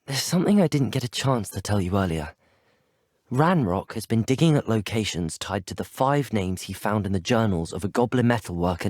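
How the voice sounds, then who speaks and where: A young man acting as an earnest, determined student wizard in a video game, speaking in an articulate, formal and measured way. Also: fairly low pitch, dry recording, clean studio sound. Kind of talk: scripted game dialogue.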